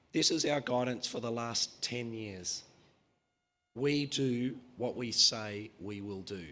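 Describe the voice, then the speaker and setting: A man's voice: medium pitch, fairly bright tone. Brash man presenting, voice going. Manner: brash, confident, quick-fire delivery. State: losing his voice. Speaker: mining company CEO presenting. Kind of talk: conference presentation. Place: conference hall.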